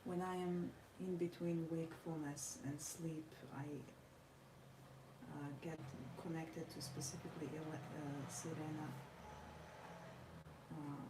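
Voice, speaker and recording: A tired woman from Croatia with a Croatian accent, chatting casually while she draws, speaking in measured, formal phrases with frequent pauses. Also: deep voice, flat delivery. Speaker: artist vlogger. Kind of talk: casual chat while drawing. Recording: roomy, distant mic with noisy ambience.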